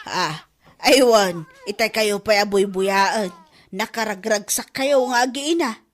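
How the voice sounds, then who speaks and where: A woman acting a sharp-tongued, scolding woman in a comedy audio drama, theatrical and exaggerated. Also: mid-range voice, takes it slowly, close to an everyday voice. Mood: mock pleading.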